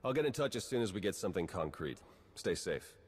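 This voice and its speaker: A man's voice: low-pitched, very animated, fast talker, long reverb tail, slight background noise. A man playing a wry, driven young spy agent in a video game, with a dry, sardonic manner. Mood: determined, wry. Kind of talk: video game cutscene acting.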